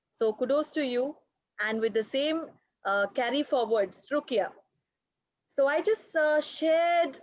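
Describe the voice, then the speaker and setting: A woman moderating a panel discussion, chatty and lively as she introduces and questions the panelists. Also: fairly high pitch, no room sound. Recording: dry, muffled call audio.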